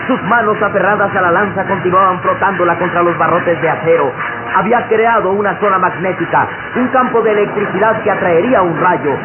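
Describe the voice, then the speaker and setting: A man's voice: high-pitched, fairly quick, over music. A man narrating an old radio adventure serial in a dramatic, declamatory manner. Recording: narrow, noisy vintage radio recording.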